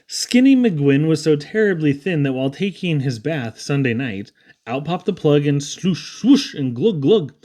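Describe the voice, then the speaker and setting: A man's voice: on the high side, big pitch swings, a little slow, dark tone. A man reading humorous children's poems aloud in a theatrical, playful storytelling manner. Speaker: poetry reader.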